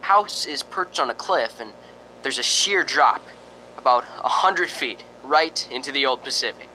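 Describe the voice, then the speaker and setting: An actor playing a chatty, slightly nervous ghost-hunting radio host in a radio drama, hesitant and conversational. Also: male voice, mid-range voice, animated, measured pace, sharp tone, a little hiss or hum, rough recording. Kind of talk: radio drama acting, on-air commentary.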